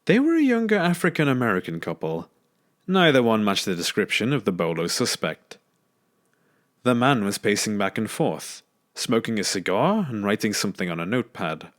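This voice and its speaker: A man reading a scripted first-person scary story as a narrator. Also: lowish voice. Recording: background music under the voice. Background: music.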